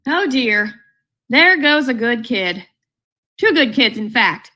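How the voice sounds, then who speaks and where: A woman's voice: fairly high pitch, big pitch swings, slow pace. A woman playing a wry, patient wife who humors her husband in an old-time radio comedy, on a noisy recording. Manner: wry, indulgent.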